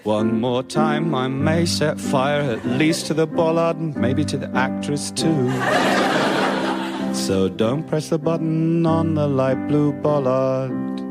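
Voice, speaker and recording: A long-suffering British zookeeper in a radio comedy song. The singing is fairly high and unhurried, lilting and resigned, over prominent guitar music. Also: male voice. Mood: weary, exasperated.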